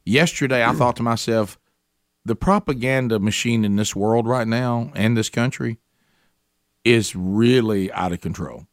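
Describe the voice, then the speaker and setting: A man hosting a talk show, giving casual political commentary in a halting way, with restarts and fillers. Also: medium pitch, dry recording, studio quality.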